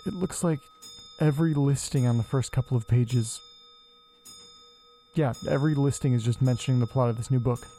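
An actor playing a restless, sweary man who mutters to himself and his pets in a naturalistic horror audio drama, casual and deadpan. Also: medium pitch, moderate pace, soft background music, lightly acted. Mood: puzzled, muttering.

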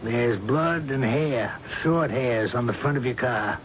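An actor playing a gruff, sardonic police chief who presses a suspect in a radio drama. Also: male voice, medium pitch, unhurried, natural voice. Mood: businesslike. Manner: insistent, sardonic, folksy interrogator. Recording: dull, noisy old radio recording.

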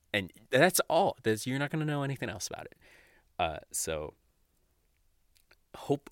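Guy with a lowish voice doing a chatty solo podcast, giggly and gushing, really dry close sound. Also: a bit bright, dry recording. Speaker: podcast host. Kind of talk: casual solo podcast chat, recommending shows.

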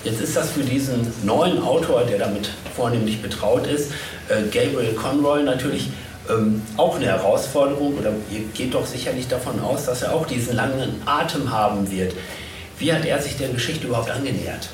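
A man's voice: medium pitch, moderate pace, background noise. Man hosting, distant in an echoey room. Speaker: podcast host and interviewer. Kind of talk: live panel interview talk.

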